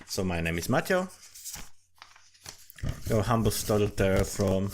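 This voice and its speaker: A man's voice: fairly low pitch, very animated, a little slow, a little hiss or hum. A man from Slovakia with a Slovak accent, giving casual, rambling commentary in a profane, self-mocking and digressive way. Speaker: storyteller and amateur writer. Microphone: desktop USB condenser microphone.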